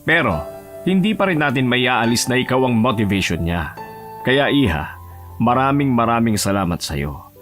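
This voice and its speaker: A man acting a warm, grateful elderly grandfather in a Tagalog audio drama, over music. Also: mid-range voice, very animated, normal speed. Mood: grateful, teasing.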